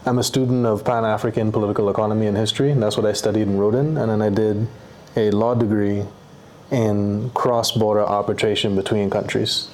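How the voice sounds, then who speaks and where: A young man, a guest in a casual interview, talking in a relaxed, slangy and reflective way. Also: lowish voice, a little fast, a little hiss or hum. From Bahamas. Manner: laid-back, slangy, reflective.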